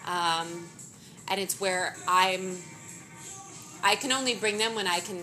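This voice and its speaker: A woman in her thirties, a business founder interviewed as a guest, talking candidly with a dry, relaxed humor. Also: medium pitch, takes it slowly, soft background music, rough recording. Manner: relaxed, candid, dryly funny. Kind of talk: casual interview.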